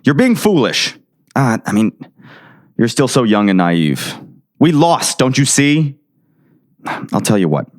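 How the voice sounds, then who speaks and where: A man's voice: mid-range voice, big pitch swings, takes it slowly, pauses often. A man playing a weary, exasperated small-town sheriff in a radio drama. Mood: resigned, exasperated.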